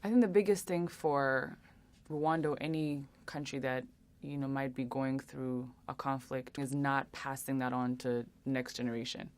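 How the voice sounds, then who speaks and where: A young woman answering interview questions earnestly, with many pauses and restarts. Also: very deep voice, steady tone, a little mellow. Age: young adult. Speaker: interview guest.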